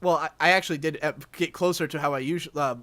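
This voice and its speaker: A man's voice: a little high, steady tone, fast talker, a bit bright, no room sound. A young man with a mild Southern American accent, co-hosting a casual podcast and earnestly explaining his point at length. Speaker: podcast co-host. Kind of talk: casual group podcast argument.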